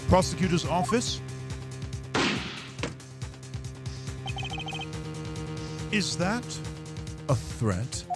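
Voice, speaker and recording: A man performs a composed, haughty young prosecutor from a video game. He speaks slowly and formally, with stern dramatic pauses and lively intonation. Music plays clearly behind him. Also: a little high. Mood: stern, indignant. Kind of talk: live video game voice-over.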